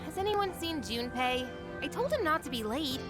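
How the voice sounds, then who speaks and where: A woman playing a lively, exasperated teenage schoolgirl in a video game, with an expressive delivery. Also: high voice, normal speed. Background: loud game music.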